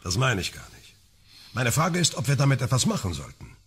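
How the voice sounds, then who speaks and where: Very husky, sardonic clergyman. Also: medium pitch, sing-song, fairly quick, fairly bright tone, heavily acted. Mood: probing. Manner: dry, teasing, probing. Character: needling, sardonic clergyman amateur sleuth. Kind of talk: German radio crime drama acting.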